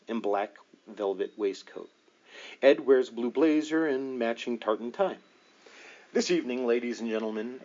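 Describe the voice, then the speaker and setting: A man's voice: medium pitch, darkish tone, lo-fi sound. A man narrating a nonfiction book as an audiobook reader, in a scripted reading style.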